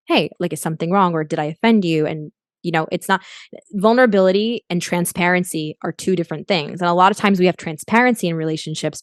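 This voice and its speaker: Casting note: young adult woman coach, reflective and earnest, slightly low voice, dry close sound. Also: no echo. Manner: earnest, reflective, frequent restarts. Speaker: guest life coach. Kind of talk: podcast interview.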